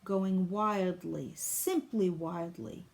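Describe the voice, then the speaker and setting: A woman reading a children's picture book aloud in an expressive, deliberate storybook style. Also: on the low side. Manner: deliberate, clearly phrased storybook delivery.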